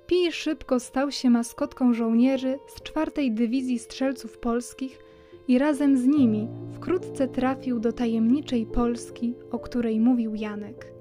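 A woman narrates a children's story from a script. Her voice sits on the high side, and music plays clearly behind her. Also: fairly even intonation, measured pace, dark tone.

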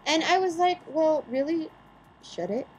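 A woman's voice: sits high, unhurried, a little mellow, slight background noise, poor audio. A woman co-hosting a podcast in casual chat, arguing her points with rhetorical questions. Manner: opinionated, builds arguments with rhetorical questions. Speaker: podcast co-host.

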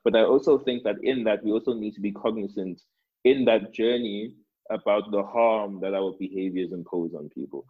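Dull recording of a husky, low-voiced man. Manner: halting, repeats words while thinking aloud. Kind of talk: reflective panel discussion.

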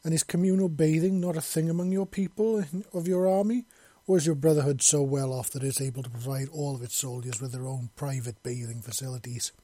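An imperious bird-woman mistress from an audiobook tale, performed by a man. She speaks formally and precisely, curious yet used to giving orders. The voice is a little rough and mellow, recorded very dry. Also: a little high, steady tone, fairly quick, dry recording, close to an everyday voice. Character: imperious, curious female bird-creature mistress, commanding and innocent.

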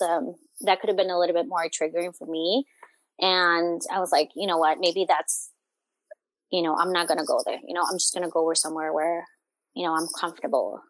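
A woman's voice: fairly low pitch, no room sound, rough recording. A young adult woman talking casually as an interview guest, in an informal, hesitant, meandering and self-reflective way. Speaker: family therapist, interview guest.

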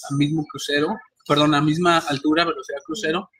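A man's voice: on the high side, flat delivery, fast, fairly bright tone, slightly rough. A man hosting a podcast, telling stories animatedly with many restarts. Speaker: podcast host. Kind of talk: casual interview chat.

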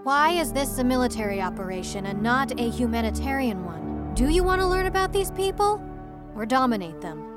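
A woman's voice: a little high, sing-song, a little fast, music in the background. An actress playing a principled, exasperated woman who talks directly and challengingly in an audio drama.

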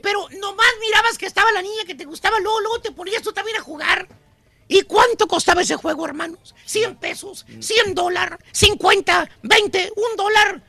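Shrill, preachy old-school radio schoolmaster. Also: normal speed, sharp tone, full character voice. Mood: exasperated, emphatic. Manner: preachy, emphatic, slangy, rhetorical questions. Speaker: comic radio commentator. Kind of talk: comic radio rant.

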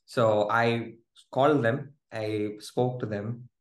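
A man telling a lively personal story as a guest in a casual interview, often laughing as he speaks. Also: medium pitch, slow pace. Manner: animated, laughing, restarts while telling a story. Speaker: guest, groom-to-be. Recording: roomy echo.

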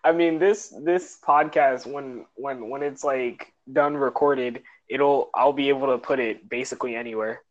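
A man's voice: mid-range voice, normal speed, few pauses. Male podcast co-host, casual and giggly, repeats words, muffled lo-fi sound. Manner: laughs often, repeats words when starting sentences. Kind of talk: casual chat. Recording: dull lo-fi audio.

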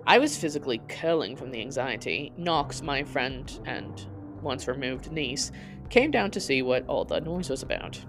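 A woman with a low voice narrates a personal story as a podcast host. Her delivery is scripted, literary and dramatic, with faint music playing behind her. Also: expressive, normal speed.

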